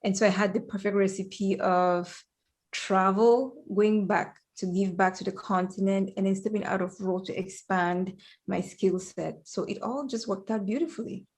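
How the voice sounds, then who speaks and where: A woman from Cameroon talks through her career as a guest, with a gravelly, fry-tinged voice at a middle pitch. She tells her story in a measured, reflective way. She is heard through a headset on a remote call. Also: steady tone, a little mellow. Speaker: guest, corporate lawyer. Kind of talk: conversational interview answers. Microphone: headphones with mic.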